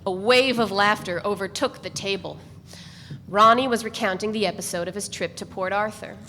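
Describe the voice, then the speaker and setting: A woman narrates a novel at a live reading in a measured, steady voice. Her pitch sits in the middle range. A long room echo and a faint background hiss surround her. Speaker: narrator reader. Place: event space.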